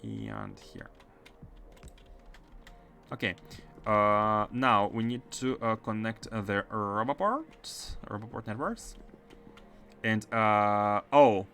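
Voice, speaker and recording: Man, Russian-French accent, chatty gameplay commentary, lots of "uh", low pitch, keyboard typing behind. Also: slow, vocal fry, long pauses, faint music behind. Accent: mixed Russian and French. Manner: chatty, many fillers, bursts of excitement. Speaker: game streamer.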